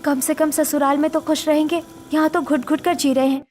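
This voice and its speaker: A woman's voice: high voice, level tone, fairly quick, darkish tone, slight background noise, close to an everyday voice. A woman voicing a yearning young sister and new bride in a cartoon story, earnest and plaintive. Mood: resentful.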